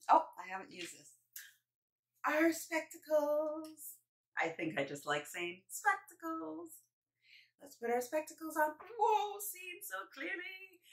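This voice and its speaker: A woman in her thirties hosting story time for small children, with an animated, sing-song way of talking. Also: medium pitch. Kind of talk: read-aloud for young children. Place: small indoor room with painted mural.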